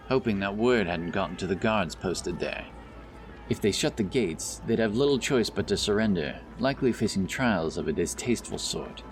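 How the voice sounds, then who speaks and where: A man reading scripted fantasy narration as an audiobook narrator, with background music behind him. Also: fairly low pitch. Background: background music and effects.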